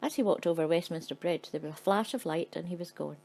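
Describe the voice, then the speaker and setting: A woman's voice: fairly low pitch, fast talker, a little mellow. A woman narrating a story in a dry, matter-of-fact way. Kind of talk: scripted narration.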